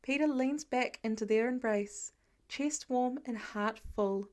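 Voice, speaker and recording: A woman with a fairly high voice reads fiction aloud. Her delivery is scripted storytelling, in the manner of a home-made audiobook. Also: a little slow, a little mellow. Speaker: amateur audiobook narrator.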